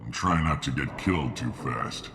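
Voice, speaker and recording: An actor playing a gruff, cynical male mercenary sergeant and mechanic in a video game, with a curt, dismissive manner. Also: a bass voice, normal speed, fairly bright tone, heavily acted. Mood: matter-of-fact. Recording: clear background noise.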